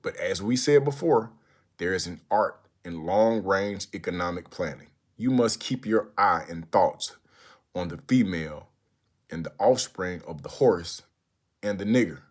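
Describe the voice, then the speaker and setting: Low-voiced man reading a speech aloud. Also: expressive, unhurried. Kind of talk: recited speech read aloud.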